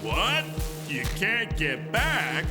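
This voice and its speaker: A pushy little deal-making spirit from a game cutscene. The voice is high and bouncy, swinging widely as it cajoles in chummy street slang. Loud music runs underneath. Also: male voice, wildly swooping pitch, very slow, exaggerated voice. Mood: cajoling. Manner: folksy wise-guy slang, cajoling. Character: brash, chummy grim-reaper spirit, streetwise deal-maker. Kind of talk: video game cutscene acting.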